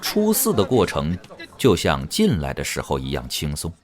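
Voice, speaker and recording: A man's voice: very deep voice, wildly swooping pitch, moderate pace. A man narrating a fantasy audio drama in a dramatic, scripted storytelling style. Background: music.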